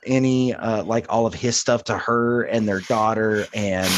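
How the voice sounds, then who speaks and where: A man's voice: lowish voice, measured pace. A man co-hosting a podcast, chatting casually and agreeably about films. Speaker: podcast co-host. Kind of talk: casual film review chat.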